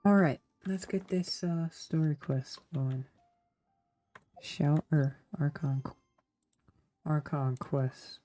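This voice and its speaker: A woman's voice: low-pitched, slow pace, long pauses, warm tone, faint music behind. A woman streamer giving casual gameplay commentary, rambling and talking to herself with lots of fillers. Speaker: small streamer.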